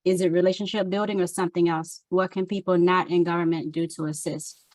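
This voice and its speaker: A woman on a webinar reading out audience questions in a brisk, matter-of-fact way. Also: fairly low pitch, little pitch movement, a little mellow, no echo.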